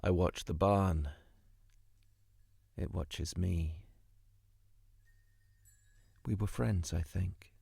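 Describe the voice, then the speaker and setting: Low-voiced man slowly reciting poetry. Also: fairly even intonation, vocal fry, mellow, husky, dry recording. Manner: deliberate, dramatic phrasing in short lines. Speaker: guest poet reading a poem.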